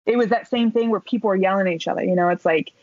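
A woman in her thirties tells a story in a loose, chatty way, full of fillers and the odd swear. Her voice sits at a middling pitch. The recording is muffled and dry, with no room sound. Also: racing, no echo. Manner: animated, chatty, many fillers and swear words. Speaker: podcast guest. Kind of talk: casual storytelling. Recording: dull dry podcast recording.

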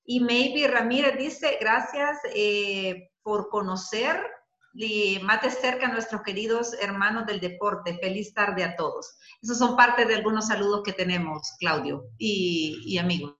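A woman's voice: medium pitch, a little slow, fairly bright tone. A woman hosting a show, reading out listener greetings. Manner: reads greetings aloud. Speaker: radio show host. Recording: dull roomy sound.

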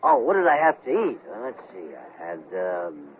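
Befuddled, tipsy manager, swooping voice. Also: male voice, mid-range voice, huge pitch swings, gravelly. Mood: flustered. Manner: flustered, dithering, halting. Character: befuddled, tipsy, cheerful male department manager. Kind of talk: radio comedy acting. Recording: noisy, narrow-band radio broadcast.

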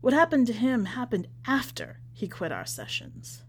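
A woman's voice: on the high side, very animated, normal speed, husky, voice pushed up. A woman voicing a pious, folksy bearded male preacher in a prison story, evasive and sanctimonious. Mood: defensive, pleading.

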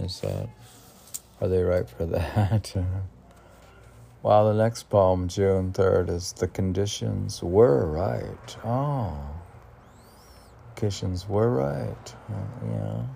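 A man reading poems aloud in a rambling manner, with muttered musing asides and questions to himself, in a gravelly voice. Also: on the low side, slow, dark tone, a little hiss or hum. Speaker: poet reading own poems.

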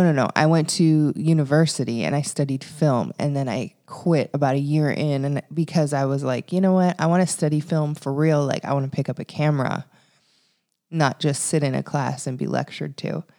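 Low mellow-voiced Canadian woman. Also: moderate pace. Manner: chatty storytelling with fillers and laughs. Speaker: podcast co-host. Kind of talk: casual podcast chat.

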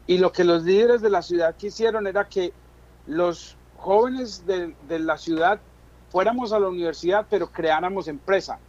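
A man's voice: high voice, dull audio. A middle-aged man with a Colombian accent, telling his own story as an interview guest in conversational Spanish. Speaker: interview guest, business chamber chairman.

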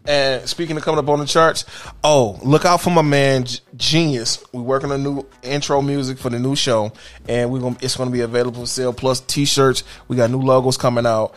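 A man's voice: mid-range voice, fairly even intonation, fairly quick, soft background music. A man hosting a podcast, talking in a brash, profane way, laughing often and repeating "you know what I'm saying.". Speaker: podcast host. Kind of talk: casual crude podcast banter.

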